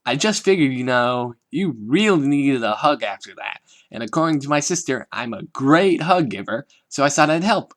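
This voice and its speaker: A man's voice: medium pitch, animated, normal speed, few pauses, fairly bright tone, close to an everyday voice. A man voicing an endlessly cheerful, hyperactive teenage girl in a fan-made audio reading, with a raised, bouncy voice.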